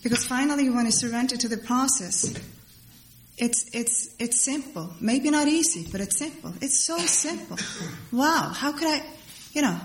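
A middle-aged woman speaks to a crowd in a fairly high voice. Her personal testimony is halting and rambling, with phrases repeated for emphasis. The room is clearly echoey, with faint noise behind her. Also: lively intonation. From Iceland. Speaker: speaker at a recovery meeting. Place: large meeting hall before a crowd. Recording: echoey hall with background noise.

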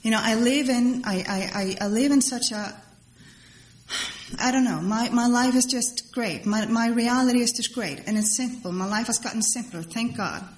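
Higher-voiced woman in echoey hall. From Iceland. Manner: halting, rambling, repeats phrases for emphasis. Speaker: speaker at a recovery meeting. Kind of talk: personal testimony to a crowd. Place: large meeting hall before a crowd. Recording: echoey hall with background noise.

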